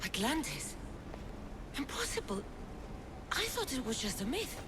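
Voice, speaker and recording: A blunt young warrior heroine in a video game. Her voice sits a bit high and moves a lot in pitch, tough and challenging, over clear background noise. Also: big pitch swings, measured pace. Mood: disbelieving. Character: tough, skeptical young female ancient Greek warrior. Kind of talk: video game cutscene acting.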